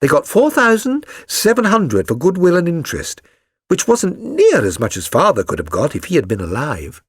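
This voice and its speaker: A man's voice: fairly high pitch, wildly swooping pitch, normal speed, husky, no room sound, clean studio sound, pitched above the performer's own voice, exaggerated voice. A man voicing a chatty, flustered young working-class London woman in a storybook reading, rambling earnestly and eagerly.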